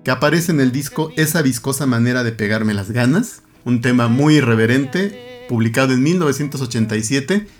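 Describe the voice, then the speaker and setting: Man explaining music history over soft music. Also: mid-range voice. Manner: informative, measured explaining. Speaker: podcast co-host. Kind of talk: radio-style podcast hosting.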